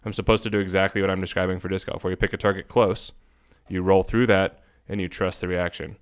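A man with a very low, clean and resonant voice in a casual podcast conversation. He explains things informally, working through his thoughts as he talks. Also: little pitch movement, quick pace, smooth. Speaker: podcast co-host.

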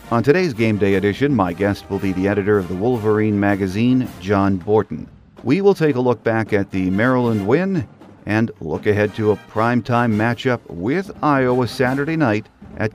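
Low-pitched man hosting a sports podcast. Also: a little mellow. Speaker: podcast host. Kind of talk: sports podcast monologue, partly scripted.

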